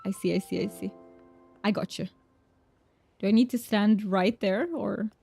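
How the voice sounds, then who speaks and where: A woman's voice: medium pitch, fairly even intonation, normal speed, creaky, mellow, slightly rough, no room sound, faint music behind. A young woman whose first language is Romanian, chatting casually and reassuringly to viewers while playing a game, laughing often. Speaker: streamer. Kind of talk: casual game stream chat.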